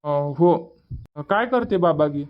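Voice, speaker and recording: A man's voice: a little high, animated, slow, highs cut off. A man voicing an easygoing young village son in a cartoon comedy, chatty and colloquial, with a rural Vidarbha Marathi accent. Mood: reassuring, cheerful.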